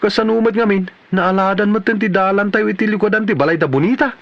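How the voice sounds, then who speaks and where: A man's voice: sits high, quick pace, vocal fry, dry recording, dull audio. A man with an Ilocano Filipino accent playing a stubborn, grumbling village man in a radio comedy drama.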